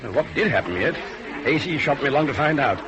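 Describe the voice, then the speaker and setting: An actor playing a gruff, busy police chief inspector who talks in clipped phrases in a radio drama. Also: male voice, fairly high pitch, lively intonation, fast, natural voice. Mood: brusque. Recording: noisy old radio recording.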